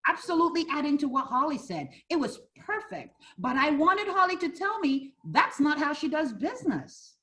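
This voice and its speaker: A woman's voice: high-pitched, sing-song, normal speed, few pauses, fairly bright tone. A woman from Ghana teaching a fair housing class for realtors, role-playing buyers and agents as she talks. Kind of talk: interactive training class.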